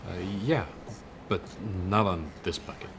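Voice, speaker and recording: An actor playing an awkward, reticent teenage boy guide in an audio drama, speaking haltingly. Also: expressive, noisy background. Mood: reluctant. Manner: halting, mumbled, low-key. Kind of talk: audio screenplay acting.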